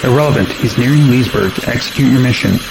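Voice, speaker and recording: An actor playing a stern military air traffic controller in an audio drama, with a clipped, commanding manner. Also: male voice, mid-range voice, quick pace, close to an everyday voice. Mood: hard, insistent. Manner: clipped, commanding radio procedure. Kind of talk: modern audio drama acting. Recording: radio chatter with clear background noise.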